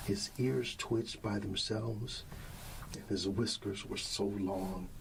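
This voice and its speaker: A man speaking softly and soothingly as a father at bedtime in an ASMR roleplay, often trailing toward a whisper, with a creaky voice. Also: lowish voice, steady tone, slow pace, background noise. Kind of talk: soft ASMR bedtime storybook reading, talking to a child.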